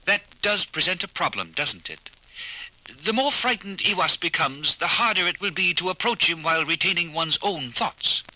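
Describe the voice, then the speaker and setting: A suave, high-voiced alien ambassador. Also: male voice, animated, normal speed, sharp tone, heavily acted, rough recording. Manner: suave, polite, indignant. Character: smooth, evasive male alien ambassador.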